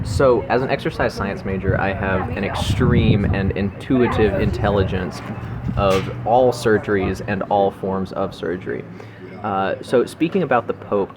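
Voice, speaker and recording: A young man on a comic podcast panel, talking in a mock-pompous way. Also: on the low side. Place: sushi restaurant. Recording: busy restaurant chatter behind.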